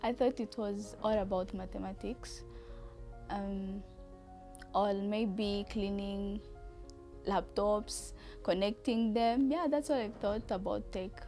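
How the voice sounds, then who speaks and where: A young woman speaks reflectively, pausing on small fillers as she gathers her thoughts. Her mid-range voice comes through a lapel mic outdoors, with faint music under it. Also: medium pitch, steady tone, mellow. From Rwanda. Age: young adult. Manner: reflective, with frequent hesitation fillers. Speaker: coding student. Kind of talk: interview testimonial. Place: outdoor terrace.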